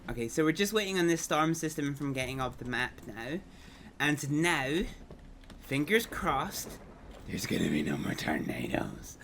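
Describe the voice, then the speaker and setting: A man streaming a game, hoarse and gravelly from a cold, exclaiming and swearing excitedly as he plays. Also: mid-range voice, unhurried. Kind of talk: live gameplay commentary. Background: storm chase game sounds, wind and vehicle.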